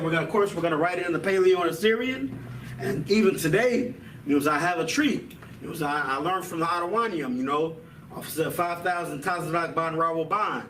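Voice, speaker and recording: A man with a slightly high voice leads a relaxed language lesson, dropping "man" and "you know what I'm saying" into his sentences. He sounds set back from the mic in an echoing room, with a faint hiss underneath. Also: far from the mic. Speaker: Hebrew language teacher. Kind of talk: casual classroom lesson.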